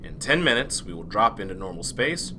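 A man's voice: on the low side, very animated, brisk, noisy background. A man playing a clipped, businesslike space transport commander in an audio drama. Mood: businesslike, serious.

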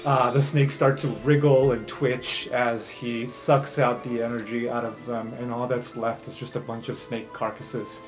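A man serves as game master, narrating an improvised fantasy game. His voice sits at a middle pitch, with music playing underneath. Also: flat delivery, normal speed, hardly any pauses.

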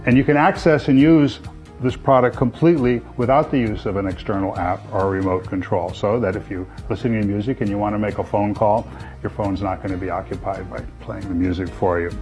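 Man in his sixties, lowish pitch, measured explanatory product pitch, light guitar music behind. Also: darkish tone. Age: 60s. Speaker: company founder presenting his product. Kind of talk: product pitch to camera, semi-scripted. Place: living room with sofas, indoors.

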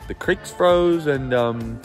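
A man's voice: medium pitch, slow. Young dude rambling into his phone in the truck, easygoing, lots of uhs, faint music under it. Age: young adult. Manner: rambling, laid-back, drawling with fillers. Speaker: vlogger. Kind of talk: casual chat. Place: inside a truck. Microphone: phone at arm's length.

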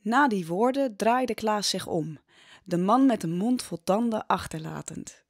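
A woman's voice: medium pitch, expressive, a little slow, no room sound, clean studio sound. A woman reading scripted storytelling narration for an audio drama.

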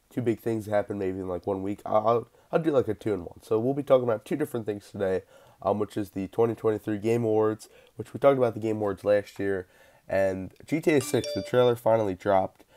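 A young man talks through a solo gaming podcast while congested from a cold. His low voice has a dark, mellow, gravelly edge. He rambles loosely, restarting sentences and leaning on fillers. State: sick and stuffy. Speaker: podcast host. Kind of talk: casual solo podcast talk.

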